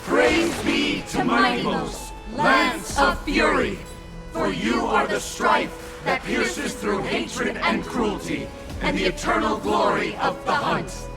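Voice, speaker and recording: A man's voice: very high voice, very animated, slow pace, long pauses, exaggerated voice. A man playing a fervent worshipper in a video game, declaiming exalted hymns of praise like a liturgy. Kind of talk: theatrical video game oration. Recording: loud music behind the voice.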